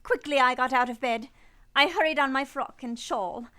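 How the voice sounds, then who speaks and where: An actress playing a grave, reserved, plain-spoken young governess in a radio play, earnest and polite. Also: female voice, sits high, moderate pace, heavy background noise, lightly acted. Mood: uneasy, earnest. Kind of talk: radio play acting and first-person narration.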